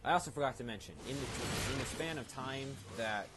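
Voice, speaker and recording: A man's voice: medium pitch, a little fast, very noisy. A man giving casual, rambling and explanatory commentary as he plays through a video game. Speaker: video game let's-player. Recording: loud game music behind the voice. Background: loud video game music.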